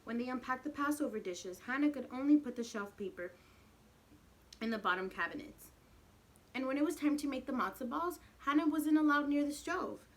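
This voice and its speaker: A woman's voice: high-pitched, animated, normal speed. A woman reading a picture book expressively to young children as their teacher, speaking to them directly. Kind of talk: animated storybook read-aloud with questions to the children.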